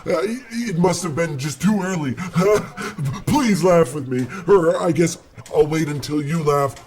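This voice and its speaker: A man's voice: on the high side, measured pace, a little mellow, soft background music, close to an everyday voice. A man playing a pompous, bossy government office boss with bombastic, theatrical delivery in a comic radio play. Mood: blustering, fawning. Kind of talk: comic old-time radio play acting.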